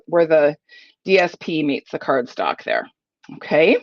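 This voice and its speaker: A middle-aged woman from Ontario, giving a chatty, enthusiastic live crafting tutorial while croaky from a cold. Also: fairly low pitch, dry recording, highs cut off. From Ontario, Canada. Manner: chatty and enthusiastic, many fillers. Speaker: papercraft demonstrator.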